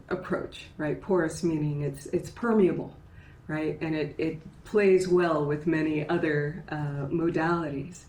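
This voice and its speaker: A woman who works as a voice actor and coach, explaining her craft in an animated, conversational way and laughing often. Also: low-pitched, roomy sound, rough recording. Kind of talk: conversational interview.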